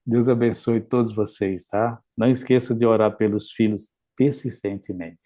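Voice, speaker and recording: A man's voice: on the low side, fairly even intonation, normal speed, mellow. A man, a pastor, earnestly exhorting listeners to pray more. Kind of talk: sermon close.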